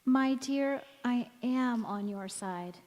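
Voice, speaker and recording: A woman playing a wistful, gentle mother in an old-time radio drama, recorded in an echoey church hall. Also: fairly high pitch, slow, muffled recording. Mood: gentle concern. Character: wistful, gentle mother returned as young visitor.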